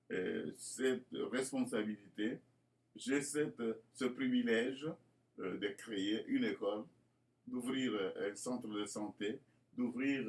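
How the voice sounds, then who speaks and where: A man from Congo presenting his school project in a formal, churchly way, with many fillers and repeated words. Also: mid-range voice. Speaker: school founder addressing inspectors. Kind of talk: hesitant spoken presentation.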